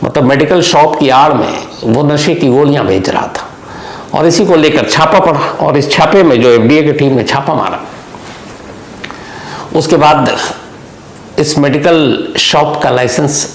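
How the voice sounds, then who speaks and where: Male true-crime host, gravelly and explanatory, telling it unscripted in a reverberant room. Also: fairly high pitch, lively intonation, unhurried, long pauses. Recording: echoey room, faint background noise.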